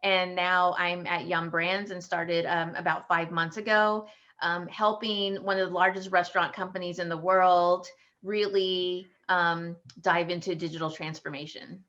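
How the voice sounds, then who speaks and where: Texan woman, 40s, patent attorney type; low, bright voice, hesitant delivery, lo-fi call audio. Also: little pitch movement. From Texas. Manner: halting, many ums and restarts. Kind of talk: career story for students.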